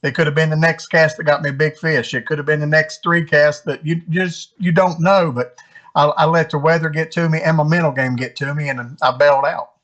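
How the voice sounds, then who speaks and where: An American man from North Carolina speaks as a podcast guest. His pitch is a bit higher than average for a man. The delivery is casual, conversational interview chat. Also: fairly even intonation, fairly quick, a bit bright, lo-fi sound. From North Carolina, United States. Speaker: podcast guest, tournament angler.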